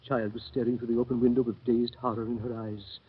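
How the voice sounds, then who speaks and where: A man's voice: medium pitch, little pitch movement, fast, slightly rough. An actor playing a nervous young male visitor who also narrates, in 1930s radio acting style. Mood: tense narration. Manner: stammering, flustered. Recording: muffled old radio recording.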